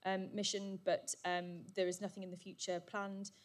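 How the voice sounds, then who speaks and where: A woman giving a brisk, upbeat summary at a conference, with frequent "um" fillers. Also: medium pitch, level tone. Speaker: session chair presenting summaries. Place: conference hall. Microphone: handheld or podium microphone.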